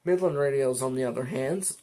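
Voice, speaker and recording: A man's voice: mid-range voice, a little fast, mellow. A man talking casually and in a rambling, conversational way as the host of a hobby product video. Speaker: host of a weather radio channel. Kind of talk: casual product talk to camera.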